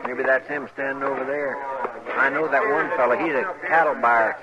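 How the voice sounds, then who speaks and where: An actor playing an earnest, deferential deputy sidekick with a rural western American accent in a 1950s radio drama, folksy and questioning. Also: male voice, mid-range voice, fairly even intonation, normal speed, over a noisy crowd, natural voice. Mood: uncertain. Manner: folksy, plain-spoken, questioning. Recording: noisy muffled vintage radio recording.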